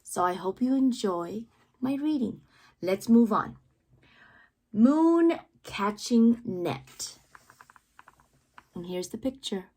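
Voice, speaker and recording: A woman reading children's poetry aloud with theatrical, deliberate phrasing and playful emphasis, adding asides as the reader. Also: on the high side, expressive, mellow. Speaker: read-aloud host, teacher-like reader.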